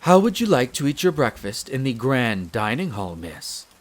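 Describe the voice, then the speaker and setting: A man's voice: mid-range voice, big pitch swings, normal speed. Man playing a formal, deferential castle butler. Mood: gently suggesting. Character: courteous, devoted male head servant of a vampire castle. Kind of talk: scripted roleplay audio drama, addressing the listener.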